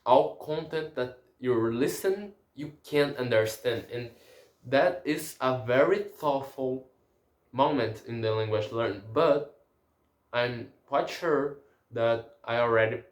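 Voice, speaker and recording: A man whose first language is Portuguese talks slowly and haltingly, restarting his sentences as he hunts for words. His medium voice rings in a roomy, echoey space. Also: medium pitch. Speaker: language learner. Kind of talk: casual spoken language diary.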